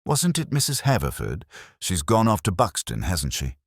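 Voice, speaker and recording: A man's voice: low-pitched, huge pitch swings, moderate pace, creaky, husky, no echo, clean studio sound, pitched above the performer's own voice, full character voice. A man voicing a confused, chatty police sergeant, a plain-spoken and deferential sidekick in a mystery story. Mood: puzzled.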